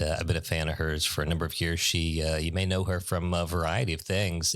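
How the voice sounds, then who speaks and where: Middle-aged man, low pitch, dry sound, hesitant phrasing, casual podcast host. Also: fairly bright tone, no echo. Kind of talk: casual interview and introduction.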